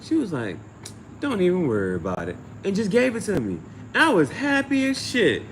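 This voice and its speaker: A man's voice: high voice, huge pitch swings, moderate pace, fairly bright tone, slight background noise. A man telling personal stories in a casual livestream style, slangy and profane, often repeating phrases as he thinks aloud. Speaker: amateur tarot reader and channel host.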